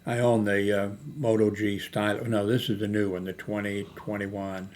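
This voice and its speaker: An older man hosting a casual unscripted vlog, thinking aloud in a hesitant, halting way as he browses products. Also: lowish voice, little pitch movement, a little mellow. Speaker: YouTube host. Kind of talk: rambling, unscripted screen-browsing product chat. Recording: noticeable background noise, homemade recording.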